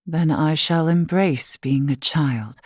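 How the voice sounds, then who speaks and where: A wise elder woman of a fantasy race, with a fairly low voice and a close, dry sound. She speaks in formal, old-fashioned phrasing, measured and courtly, with a gently teasing temper. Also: slightly rough, no echo, exaggerated voice. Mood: intrigued. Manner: formal, measured, archaic wording. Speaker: voice actress in a video game. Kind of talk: scripted game character dialogue.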